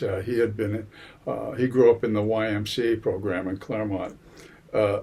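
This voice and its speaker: A man in his seventies from Massachusetts, giving an oral history interview in a library, unhurried and full of hesitations. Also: lowish voice, a little mellow, slightly rough. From Massachusetts, USA. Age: 70s. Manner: unhurried, many hesitations and fillers. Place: public library.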